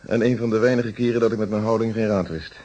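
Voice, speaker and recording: Low, husky police inspector. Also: male voice, fairly even intonation, quick pace, warm tone, dull audio. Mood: reflective, explanatory. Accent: Netherlands Dutch. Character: police inspector, steady, reflective, recounting his investigation. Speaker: actor in a detective radio play. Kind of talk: Dutch radio drama, conversational storytelling.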